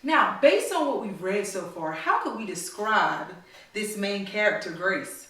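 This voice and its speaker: A woman reading a storybook aloud to children in a teacherly way, pausing to prompt them with questions. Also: medium pitch, sing-song, pushed and bright. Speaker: mother reading a storybook to her daughters. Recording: very echoey room, slightly distant mic.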